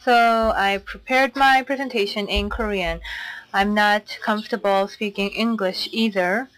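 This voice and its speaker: A woman interpreting a conference talk into English, steady and formal, with frequent fillers. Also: mid-range voice, unhurried, no room sound, poor audio.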